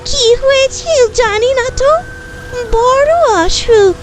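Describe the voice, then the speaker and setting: A woman voicing a poor, anxious young girl who pleads respectfully and earnestly in an animated horror story. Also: extremely high pitch, takes it slowly. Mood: anxious pleading. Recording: music behind the voice.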